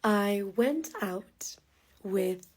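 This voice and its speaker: A woman hosting a slow English podcast, speaking deliberately with pauses, congested and with a cough. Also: mid-range voice, animated, slight background noise. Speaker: podcast host teaching English. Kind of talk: slow, clear English listening lesson.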